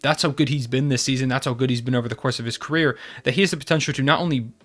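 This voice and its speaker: Young male sports vlogger, quick and eager, nasal from a cold. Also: medium pitch, steady tone, fairly bright tone. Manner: enthusiastic, fan-like. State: congested from a cold. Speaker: basketball channel host. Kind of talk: casual video recap.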